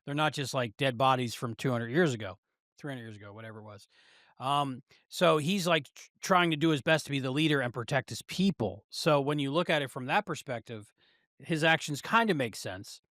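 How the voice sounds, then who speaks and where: A man co-hosting a podcast, casually working through his ideas in an animated way with frequent restarts. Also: medium pitch, a little fast, no room sound, studio quality. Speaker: podcast co-host. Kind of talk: casual review chat.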